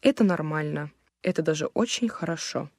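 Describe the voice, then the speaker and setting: Low-voiced woman reading a language lesson. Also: animated, no echo, clean studio sound. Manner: slow, careful, clearly enunciated. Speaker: language course reader. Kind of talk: textbook lesson read aloud.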